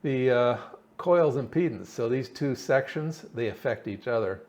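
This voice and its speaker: A man giving an informal technical explanation as a hobbyist presenter, thinking aloud in a halting way. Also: mid-range voice, lively intonation, darkish tone. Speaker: hobbyist presenter explaining his radio build. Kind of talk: informal technical explanation over slides and diagrams.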